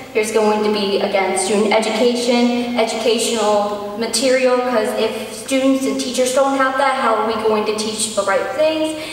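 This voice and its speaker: High voiced teen girl presenting, super echoey, far from mic. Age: teens. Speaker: student presenter. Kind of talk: presentation and audience Q&A. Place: large hall stage. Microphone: far camera. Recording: very echoey hall, distant, faint noise.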